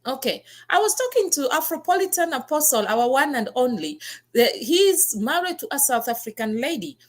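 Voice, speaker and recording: A woman's voice: high-pitched, very animated, normal speed. A Kenyan woman with a Kenyan accent, hosting a talk and telling her own story in an earnest, emphatic way. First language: her Kenyan mother tongue. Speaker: talk show host.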